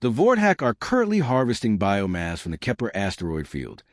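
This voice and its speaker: A man's voice: lowish voice, wildly swooping pitch, a little fast, dry recording, studio quality. A man voicing a small, fierce woman space captain in an audio story, wry, teasing and confident. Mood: confident, sly.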